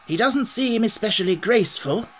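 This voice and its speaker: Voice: medium pitch, expressive, normal speed, slight background noise, pitched above the performer's own voice, close to an everyday voice. A storybook reader voicing a genial, self-important scarecrow king who speaks in a pompous, declamatory way. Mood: brisk, commanding.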